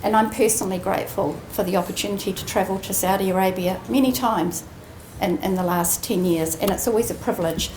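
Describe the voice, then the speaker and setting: A woman giving a prepared ceremony speech as an executive, measured and earnest with deliberate emphasis. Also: mid-range voice, a little mellow. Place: indoor ceremony room. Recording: roomy echoing venue, faint background noise.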